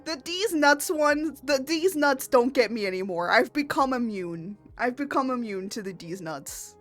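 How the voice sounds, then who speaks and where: A young woman streaming, her voice hoarse from laughing, breaking into giggles as she chats. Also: high voice, lively intonation, measured pace, soft background music. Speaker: VTuber artist streamer. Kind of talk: casual live stream chat.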